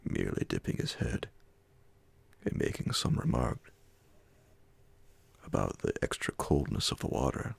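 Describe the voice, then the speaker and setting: A man reading classic horror fiction as an ASMR audiobook, in a hushed, measured, breathy and gravelly voice. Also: very deep voice, wildly swooping pitch. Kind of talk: ASMR audiobook scripted narration.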